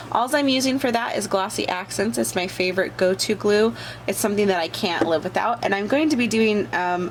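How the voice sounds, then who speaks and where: A woman's voice: medium pitch, slight background noise. A woman with a bad cold hosting a casual crafting tutorial, talking along chattily with many fillers as she works. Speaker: crafting channel host.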